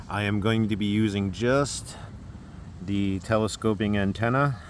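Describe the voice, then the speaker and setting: An American man casually presenting a demonstration as a hobbyist, with a halting, unscripted delivery. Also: lowish voice, steady tone, a little hiss or hum.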